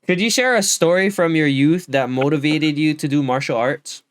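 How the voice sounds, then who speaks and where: A man's voice: on the high side, normal speed, smooth. A young man from Hawaii hosting a podcast, chatting casually and laughing along with his guest. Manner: easygoing, laughs along, brief affirmations. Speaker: podcast host. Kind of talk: casual interview chat.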